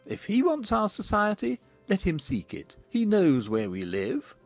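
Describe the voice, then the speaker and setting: A woman voicing a stubborn, disdainful older gentleman husband in a dramatized audiobook, gravelly and husky, with emphatic formal speech. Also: medium pitch, wildly swooping pitch, a little slow, faint music behind, heavily acted.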